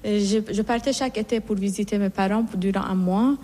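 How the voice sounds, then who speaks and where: A middle-aged nun from Iran recounts her calling with earnest, reflective warmth, circling back and restarting her phrases as she goes. Her voice sits at an ordinary woman's pitch. A long hall reverb trails behind every word. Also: fairly even intonation, a little fast. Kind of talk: personal testimony in an interview.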